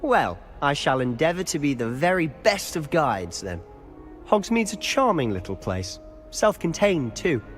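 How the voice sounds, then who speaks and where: A man's voice: on the high side, big pitch swings, unhurried, faint music behind. A man playing a cheeky, charming teenage wizard schoolboy in a video game, playful and mock-formal. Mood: playful, friendly. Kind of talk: scripted video game acting.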